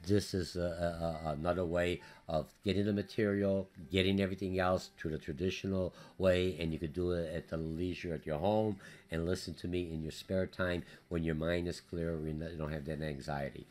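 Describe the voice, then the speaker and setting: A man with a Polish accent, a college business instructor giving a hurried, energetic recorded class update full of fillers and restarts. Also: sits low. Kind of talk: recorded course update lecture.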